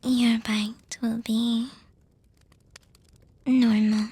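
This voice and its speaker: A woman voicing an affectionate, teasing young vampire girlfriend in a breathy, hesitant whisper for an ASMR roleplay. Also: fairly high pitch, level tone, no echo, exaggerated voice. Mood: gentle explaining. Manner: slow, hesitant, gently reassuring with soft hums.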